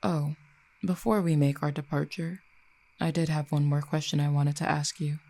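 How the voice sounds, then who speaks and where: Casting: composed, dangerous exiled cupid for audio roleplay performed by an actor, eloquent and formal, dark creaky voice of medium pitch. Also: steady tone, lightly acted. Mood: polite, curious. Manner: measured, formal, eloquent phrasing. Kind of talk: audio roleplay acting, addressed to listener.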